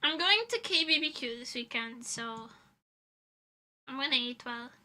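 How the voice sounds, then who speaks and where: A woman's voice: high-pitched, animated, unhurried, bright, forceful tone. A young woman livestreamer chatting casually in an informal, self-deprecating, rambling way.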